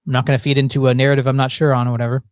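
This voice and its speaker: A man's voice: mid-range voice, level tone, rapid-fire, clear, resonant tone, dry recording, studio quality. A man hosting a casual chat, rambling with stops and restarts and a joking tone. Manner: rambling, self-interrupting, jokey. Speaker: podcast host.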